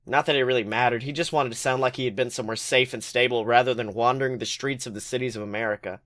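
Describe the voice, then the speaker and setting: A man podcast host reading fan fiction aloud as expressive, colloquial story narration. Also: mid-range voice, fast.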